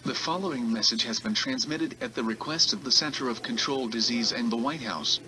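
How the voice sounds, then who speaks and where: A man playing an emergency alert announcer, giving a scripted warning in a slow, formal, even official delivery. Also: medium pitch, natural voice, rough recording. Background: music playing under the voice.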